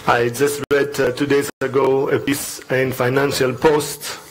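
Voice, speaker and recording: A man's voice: medium pitch, little pitch movement, measured pace, a little hiss or hum, rough recording. Greek-accented man speaking on a panel. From Greece. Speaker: panelist, academic. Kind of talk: informal academic talk. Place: public panel event in a library.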